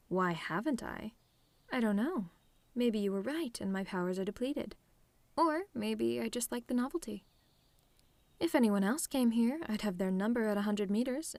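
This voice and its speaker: A woman playing a telepathic villainess in an ASMR roleplay, sardonic and theatrical, menacing with a tender streak, in deliberate phrasing. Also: medium pitch, darkish tone, no room sound, lightly acted. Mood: sardonic, probing. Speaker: audio roleplay performer.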